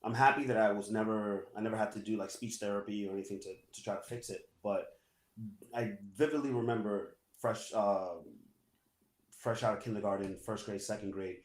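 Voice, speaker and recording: A man, a Spanish speaker, telling his story casually in an interview and filling pauses with "you know". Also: lowish voice. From New York. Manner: relaxed, many "you know" fillers. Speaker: guest, assistant principal. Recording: echoey room.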